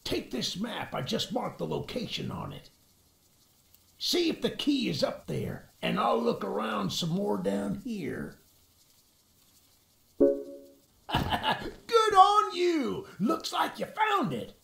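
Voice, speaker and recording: Casting: folksy old monster hunter for a game cutscene, eager and friendly, gravelly, very high for a man, roomy echo. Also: slow, pauses often, heavily acted. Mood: helpful, then cheerful. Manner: folksy, animated, theatrical. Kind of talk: video game cutscene acting. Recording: roomy echo with music underneath.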